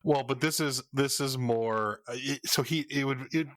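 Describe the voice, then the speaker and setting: A man's voice: medium pitch, moderate pace, dry recording, studio quality. A man chatting casually as a player in a tabletop role-playing game. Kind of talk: casual chat.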